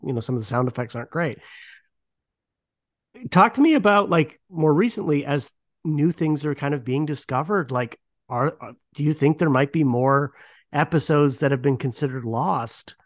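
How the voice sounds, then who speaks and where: A man's voice: mid-range voice, dry recording. Man hosting, close dry sound. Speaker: podcast host. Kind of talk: casual interview chat.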